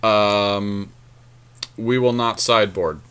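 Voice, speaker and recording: A man's voice: fairly low pitch, fairly even intonation, slow, a bit bright, clear, resonant tone. A man casually commentating a card game with a co-host, thinking aloud in slangy, jokey language.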